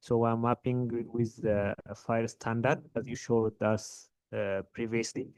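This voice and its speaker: A man from Ethiopia with an Ethiopian accent, asking a question at a conference in a halting way. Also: mid-range voice, flat delivery, darkish tone, no room sound. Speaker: audience questioner, interoperability lead.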